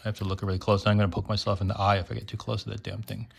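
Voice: deep voice, little pitch movement, rapid-fire, gravelly. Rambling, wry teardown host in a noisy room. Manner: rambling, wry, mildly profane. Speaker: host of a gadget teardown video. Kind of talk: casual hands-on commentary. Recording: noisy room, clicking tools nearby.

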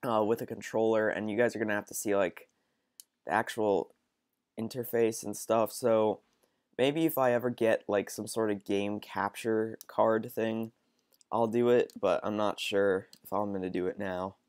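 A sniffly young man with a cold, rambling through casual game commentary with frequent ums and restarts. His voice sits a bit low and sounds close and dry. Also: fairly even intonation, normal speed, darkish tone, clean tone, no room sound. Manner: rambling, hesitant, lots of ums. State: stuffy with a cold. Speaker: gaming channel host. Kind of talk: casual let's-play commentary.

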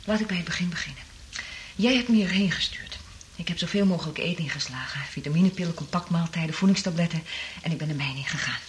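An actress in a radio drama playing a traumatised woman survivor, half-mad from isolation, speaking in an anguished, halting, dramatic way. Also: lowish voice, slight background noise, close to an everyday voice. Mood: haunted recounting.

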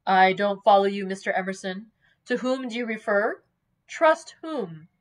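A woman reading a novel as a stern, cold man with a sharp, clipped, disdainful way of speaking. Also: mid-range voice, expressive, unhurried, close to an everyday voice. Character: stern, cold, contemptuous man of quiet authority.

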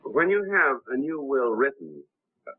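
A man's voice: mid-range voice, wildly swooping pitch, measured pace, breathy edge, full character voice. An actor in his thirties playing a polite, formal man posing as a lawyer in a 1930s film. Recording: tinny 1930s film soundtrack.

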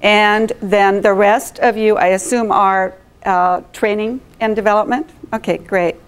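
A woman's voice: mid-range voice, fairly even intonation, measured pace, smooth. A middle-aged woman with a big voice presents live in a meeting room without a mic, engaging the audience with questions. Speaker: presenter at a professional chapter meeting.

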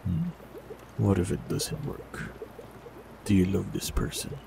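A man voicing a warlock in an audio roleplay, talking calmly and reassuringly to the listener. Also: low voice, slow, gravelly, over music. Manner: calm, gentle, reassuring.

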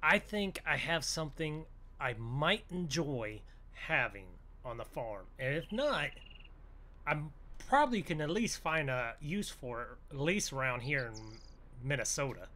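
A man's voice: on the high side, big pitch swings, measured pace, pushed and bright. A man improvising farmer roleplay for a gaming video, rambling in a folksy way as he thinks aloud. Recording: noisy, vehicle engine sounds behind voice. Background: game engine and vehicle sounds.

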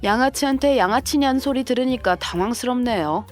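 A woman's voice: on the high side, a little fast, faint music behind, close to an everyday voice. A woman playing a composed, sarcastic sister-in-law and protective mother in a scripted audio drama. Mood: cool, dismissive.

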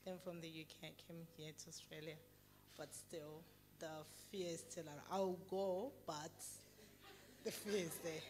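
A woman from Zimbabwe answers softly and hesitantly into a microphone in a large hall. Her voice sits a little low, with faint room ambience. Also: fairly even intonation, moderate pace, a little hiss or hum. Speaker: church member.

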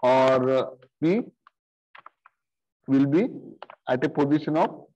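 A middle-aged man teaching programming over a screen-share video call, talking slowly and haltingly with many fillers and repeated okays. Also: medium pitch, darkish tone. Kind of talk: screen-share coding lesson. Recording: dull lo-fi sound.

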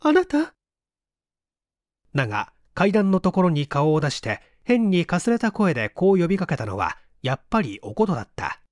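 A male audiobook reader delivers a suspenseful story in measured, theatrical phrases. His pitch moves widely, and the recording is close and dry. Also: very animated, dry recording. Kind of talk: scripted dramatic narration of a mystery novel.

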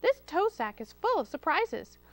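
A woman's voice: very high voice, big pitch swings, normal speed, no echo, pitched above the performer's own voice, heavily acted. A woman voicing a plucky young female piglet in a children's story, bold, sassy and confident. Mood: bright, stalling.

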